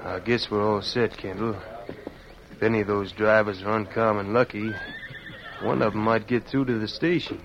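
A man's voice: on the low side, steady tone, moderate pace, natural voice. A man playing a confident, cold-blooded western gang leader, talking in drawled slang in an old-time radio drama. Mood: cold confidence. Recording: muffled noisy vintage radio.

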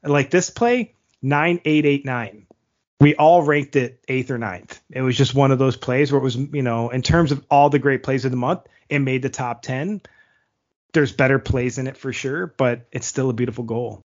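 Man reading hockey highlights, lo-fi. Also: moderate pace. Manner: reads plays like sports commentary, then chats loosely. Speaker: podcast co-host, goalie. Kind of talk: reading play-by-play highlight rundowns and casual chat.

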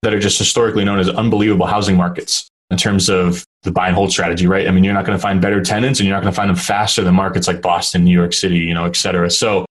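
A man's voice: low-pitched, little pitch movement, racing, hardly any pauses. A young man from New Hampshire, a real estate investor being interviewed, talking casually and thoughtfully with frequent restarts and fillers. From New Hampshire, USA.

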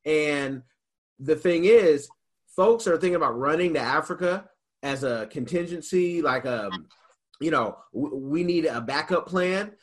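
A man's voice: fairly high pitch, lively intonation, measured pace. A man with an American accent hosting a casual interview, asking long, rambling questions in a conversational way. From United States. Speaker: interview host.

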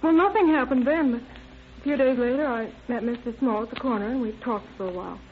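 A woman's voice: a little high, fairly quick, lightly acted. An actress playing a nervous, frightened married woman in an old radio drama, hesitant, flustered and pleading. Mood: anxious, flustered. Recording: tinny, noisy old radio recording.

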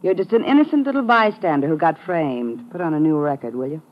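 A woman's voice: low voice, expressive, quick pace. An actress playing a cynical young American woman in a 1950s radio crime drama, brassy, sarcastic and curt. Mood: scornful. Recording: muffled, noisy vintage radio recording.

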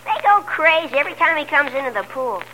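A woman playing an excitable, gossipy, admiring young pool attendant in a 1940s radio comedy. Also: sits high, quick pace, full character voice. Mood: eager, admiring. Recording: noisy vintage radio recording.

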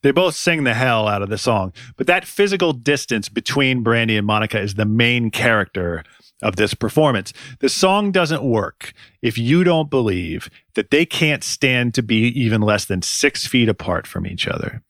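A man hosting a music podcast, reading scripted commentary in a dry, wry, deadpan way. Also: medium pitch, moderate pace, no echo. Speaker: podcast host.

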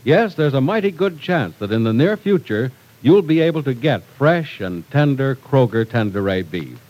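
A man's voice: mid-range voice, sing-song, normal speed, darkish tone, poor audio. A man announcing on 1940s radio, reading scripted sponsor copy and story narration in an old broadcast recording.

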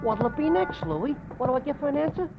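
An actor playing a nervous, chatty middle-aged barfly who rambles and hesitates. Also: male voice, very high voice, very animated, fast. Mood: rambling. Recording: dull lo-fi old radio broadcast with music.

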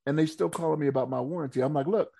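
Man, podcast co-host, quick and lively, husky, animated storytelling. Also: on the high side. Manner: animated storyteller, acting out his own quoted remarks. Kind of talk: casual chat.

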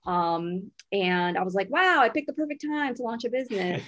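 A woman in her thirties from Brazil, a money coach and podcast guest, telling her story in an animated, chatty way and laughing easily. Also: a little high, moderate pace, no echo. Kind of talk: casual interview storytelling. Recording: dull, slightly lo-fi sound.

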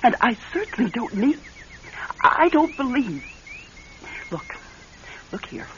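An actress playing a skeptical, high-strung married woman in an old radio drama, halting and emphatic when upset. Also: big pitch swings. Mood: indignant. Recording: muffled, noisy vintage radio recording.

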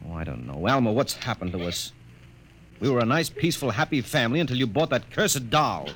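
A man's voice: medium pitch, very animated, a little fast, fairly bright tone, slight background noise. An actor playing a stern, anxious army colonel and father in a 1940s radio drama, blustering and worried.

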